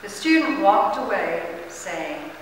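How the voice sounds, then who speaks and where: A woman narrating a story as a dramatic reading, with expressive, theatrical phrasing. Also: medium pitch, slow. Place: large church, distant camera mic. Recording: echoey, noisy hall from far off.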